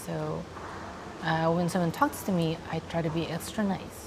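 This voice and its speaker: Young Filipino-accented woman, soft-spoken and hesitant, interview over clear ambient noise. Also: low-pitched, steady tone. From Philippines. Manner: soft-spoken, hesitant with many pauses, laughs often. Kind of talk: casual interview chat.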